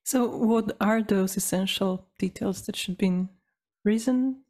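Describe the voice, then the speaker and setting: A woman's voice: mid-range voice, measured pace, dark tone. A woman co-hosting a casual work podcast, chiming in with short remarks and questions. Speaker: podcast co-host. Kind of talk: casual chat.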